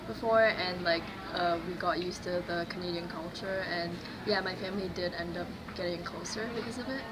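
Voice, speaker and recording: Casual young woman over loud noise. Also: fairly low pitch. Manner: casual, filler-heavy, halting. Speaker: interviewee. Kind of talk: casual interview.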